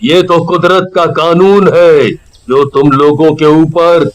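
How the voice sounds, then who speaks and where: A man voicing a stern, ominous tantrik holy man in an animated horror story, with drawn-out speech. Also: a little high.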